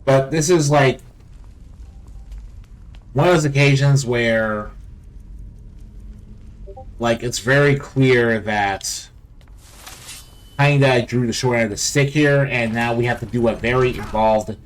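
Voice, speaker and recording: A man's voice: mid-range voice, takes it slowly, pauses often, low-quality recording. A man hosting a let's play with casual, offhand and wry game commentary.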